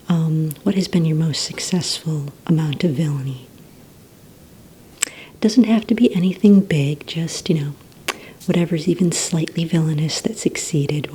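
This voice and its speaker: Soft, low, near-whispering villain receptionist played by a woman. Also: a little hiss or hum, poor audio. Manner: gentle, hesitant, courteous interviewer. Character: polite, organized female receptionist at a supervillain recruitment center. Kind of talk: soft-spoken ASMR roleplay.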